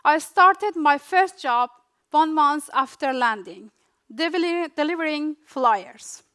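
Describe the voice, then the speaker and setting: A young woman from Afghanistan with an Afghan accent, whose first language is Dari, giving a rehearsed personal storytelling speech in short, deliberate phrases. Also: sits high, animated, sharp tone. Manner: slow, deliberate delivery in short dramatic phrases with pauses. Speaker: refugee speaker.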